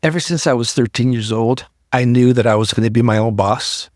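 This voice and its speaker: A man's voice: mid-range voice, a little fast, dry recording, clean studio sound. A middle-aged man from Iran, a podcast guest telling his story earnestly and often repeating words as he builds up. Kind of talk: casual interview talk.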